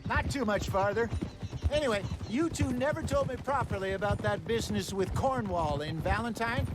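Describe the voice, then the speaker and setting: An actor playing an easygoing, seasoned outlaw companion of the Old West in a video game, chatty and wry. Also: male voice, high-pitched, normal speed, close to an everyday voice. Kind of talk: video game cutscene acting. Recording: noisy outdoor scene, horse hooves.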